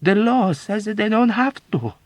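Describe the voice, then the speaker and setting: A man reading an audiobook and voicing a grieving old woman with a slow, mournful wail. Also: high voice, animated, no room sound, pitched above the performer's own voice.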